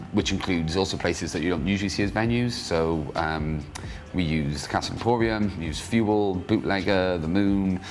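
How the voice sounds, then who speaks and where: Deep-voiced festival organiser. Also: male voice, a little hiss or hum. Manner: matter-of-fact, listing details. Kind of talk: interview answer.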